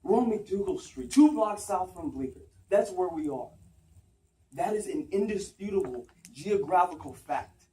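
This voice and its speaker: A man acting out an anguished, self-doubting rationalist confessing forbidden love in an articulate, earnest stage monologue with dramatic pauses. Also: high voice, lively intonation, dark tone. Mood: desperate insistence. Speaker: acting student. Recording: roomy, distant mic, faint background noise.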